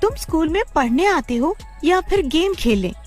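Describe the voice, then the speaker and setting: A woman voicing an earnest young female teacher in a cartoon story, with emphatic, expressive delivery. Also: extremely high pitch, moderate pace, soft background music, pitched above the performer's own voice. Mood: angry, scolding. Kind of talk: animated horror-moral story character acting.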